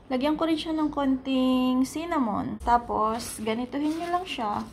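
A woman's voice: high voice, moderate pace, a little hiss or hum. A young woman with a Filipino accent, chatting viewers through a recipe in her home kitchen, filmed on a phone. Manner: chatty, instructive, addresses viewers as guys. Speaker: cooking vlogger. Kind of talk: casual cooking tutorial.

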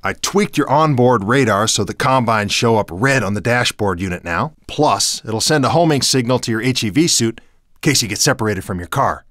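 A male actor plays an earnest resistance fighter in a video game. He explains briskly in a folksy, animated voice with wide swings in pitch. The sound is dry and close. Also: dry recording, close to an everyday voice. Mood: helpful, businesslike.

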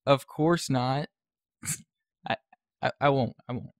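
A man's voice: mid-range voice, fairly even intonation, takes it slowly, vocal fry, no echo, studio quality. A man acting an earnest, troubled young male student in an audio drama. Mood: earnest, nervous.

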